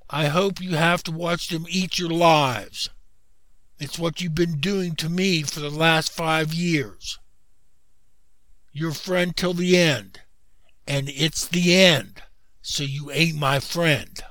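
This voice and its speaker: A man's voice: a little high, steady tone, slow pace, fairly bright tone, dry recording. A man voicing a bitter, vengeful man who taunts and curses with spite. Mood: bitter farewell.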